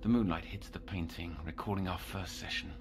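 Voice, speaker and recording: An actor playing an obsessive, scheming psychiatric counsellor in an audio drama, speaking in formal, measured phrases that slip into whispers. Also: male voice, low-pitched, fairly even intonation, music in the background. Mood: brooding, obsessed. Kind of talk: audio drama monologue and dialogue.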